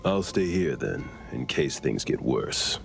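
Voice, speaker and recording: An actor playing a gruff, watchful male companion in a video game, with a gravelly voice. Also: rumbling low, sing-song, takes it slowly, faint music behind, full character voice. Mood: steady, guarded. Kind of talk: English video game voice acting.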